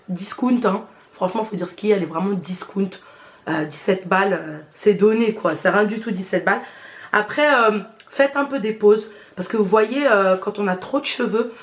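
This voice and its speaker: A woman with a stuffy head cold, giving a chatty, slangy hair tutorial to her viewers while she demonstrates. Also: mid-range voice. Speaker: beauty vlogger. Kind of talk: casual hair tutorial chat.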